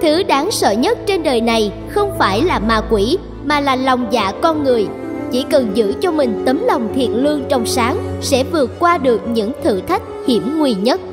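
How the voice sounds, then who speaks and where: A woman's voice: high-pitched, lively intonation, moderate pace. A woman giving scripted storybook narration as a narrator. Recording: music behind the voice.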